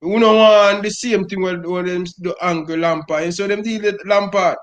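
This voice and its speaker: A man's voice: high-pitched, steady tone, normal speed. A middle-aged man with a Jamaican Patois accent, speaking as a football fan in an impassioned rant and calling everyone "brother" over and over. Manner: emphatic, repeats "brother" constantly.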